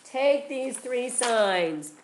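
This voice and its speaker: A woman voicing a powerful male divine voice speaking from a burning bush, slow, loud and commanding. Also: fairly high pitch, animated, slight background noise, pitched above the performer's own voice.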